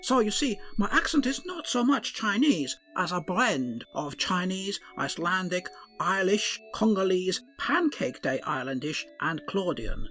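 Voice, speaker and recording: Man playing a rambling space doctor, odd mixed accent, lively swooping pitch, faint music behind. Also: measured pace, hardly any pauses, exaggerated voice. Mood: earnest. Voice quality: odd blended put-on accent. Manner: earnest, rambling, formal. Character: fussy male ship's doctor with a put-on accent. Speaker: ship's doctor. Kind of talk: comic audio drama.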